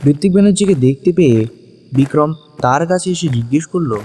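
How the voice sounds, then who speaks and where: A man's voice: fairly high pitch, expressive, a little fast, warm tone, slightly rough. A man narrating a scripted suspense story dramatically in Bengali. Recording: long reverberant hall echo.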